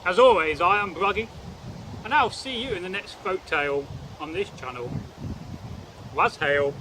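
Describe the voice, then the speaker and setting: A man telling a folk tale as a storyteller, in a deliberate, repetitive way with old-fashioned phrasing. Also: sits high, expressive, background noise. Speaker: channel host and storyteller.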